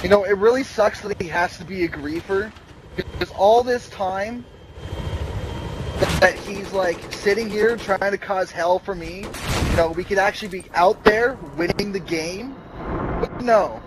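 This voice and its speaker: A man's voice: high voice, unhurried. A man ranting while playing an online game, irate, sarcastic and foul-mouthed. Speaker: gamer, squad leader. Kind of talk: heated online gaming rant. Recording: very noisy game capture with gunfire and vehicles. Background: video game gunfire and vehicle noise.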